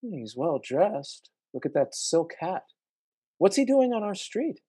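Radio play casting: stubborn old soldier dad, mellow tone, animated delivery, gently wry. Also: male voice, high-pitched, takes it slowly, breathy edge, exaggerated voice. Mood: earnest, uneasy.

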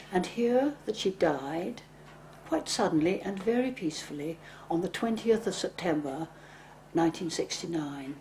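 Older woman, low mellow voice, documentary narration, faint noise. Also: rough recording.

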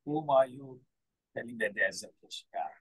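A middle-aged man with an Indian accent, a coach telling a moral story off the cuff in a deliberate way, often repeating his phrases. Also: medium pitch, fairly bright tone, slightly rough, rough recording. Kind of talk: unscripted moral storytelling to a group. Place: open balcony or corridor of a building.

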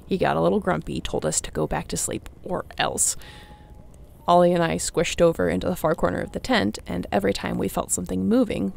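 A woman playing a young apocalypse survivor, a tender, teasing companion in an intimate audio roleplay, with a creaky voice. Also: lowish voice. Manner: unhurried, intimate, playful.

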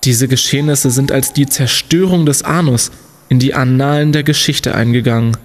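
An actor playing a clever, confident young student sleuth in a comic radio play, explaining things in a deliberate, declamatory way. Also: male voice, medium pitch, background noise, natural voice. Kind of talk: German comedic audio drama acting.

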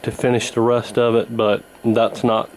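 A man talks through a repair job in a casual, unscripted way. His pitch is average and his intonation stays quite flat. He is recorded indoors at a workbench on a camera mic, with some background noise. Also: normal speed, low-quality recording. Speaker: host, radio repairer. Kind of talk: casual hands-on repair narration. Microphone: handheld camera near the bench.

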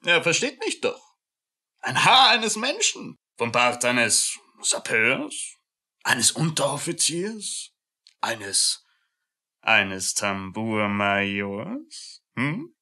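An actor playing a pompous, blustering army captain with a teasing, taunting manner in a radio play. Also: male voice, medium pitch, big pitch swings, very slow, pushed and bright, exaggerated voice. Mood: sly taunting. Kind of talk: radio drama acting.

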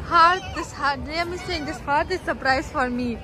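A young woman vlogging on her phone through a busy mall and aquarium, talking excitedly to viewers and her small son. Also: sits high, normal speed. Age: young adult. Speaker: family vlogger. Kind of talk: casual vlog narration. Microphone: phone at arm's length. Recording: loud crowd and street noise.

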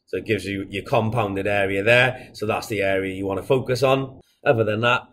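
A man in his thirties giving a casual software tutorial, explaining each step in a plain, informal way as a product demonstrator. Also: on the low side, steady tone, fairly quick, slight background noise. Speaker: product demonstrator for a security equipment supplier.

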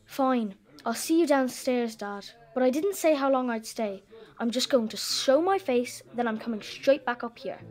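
A woman playing a headstrong, chatty young girl in an expressive children's audio drama. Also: on the high side, normal speed, close to an everyday voice. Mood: sulky, defiant. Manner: expressive, emphatic.

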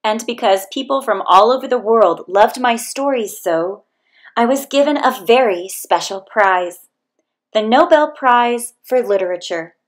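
A woman reading a children's picture book aloud as scripted narration, with expressive, deliberate storytelling delivery. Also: fairly high pitch. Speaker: storybook reader.

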